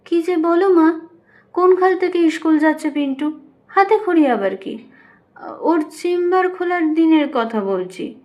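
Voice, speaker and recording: Woman playing a high-voiced, exasperated grown daughter. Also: a little slow, dull audio. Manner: scolding, exasperated. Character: young married daughter, exasperated and impatient with her mother.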